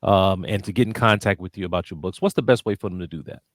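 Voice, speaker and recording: A man's voice: sits low, fast talker, dry recording, studio quality. A man hosting a radio interview, asking questions hesitantly with many fillers and repeated words. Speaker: radio show host, interviewer.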